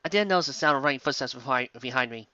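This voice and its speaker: A man giving a sarcastic, theatrical dramatic reading of fan fiction and breaking in with excitable commentary. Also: mid-range voice, rapid-fire, smooth, no echo, dull audio.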